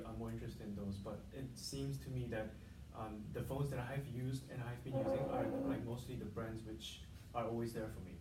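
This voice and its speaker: A man talking in a focus group, hesitant and full of ums and restarts. His voice sits at a middle pitch and is picked up from a distance in an echoey room with a clear hum of ambience. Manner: hesitant, many fillers and restarts. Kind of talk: casual discussion.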